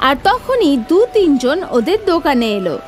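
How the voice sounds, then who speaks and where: A woman's voice: high-pitched, very animated, normal speed, soft background music. A woman narrating a scripted children's story as the storyteller.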